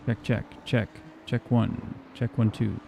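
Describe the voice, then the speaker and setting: A man with a quiet voice, streaming a sim race and chatting casually in a low-key, mumbly way while he tests his mic. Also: flat delivery, slow pace, gravelly, highs cut off, a little hiss or hum.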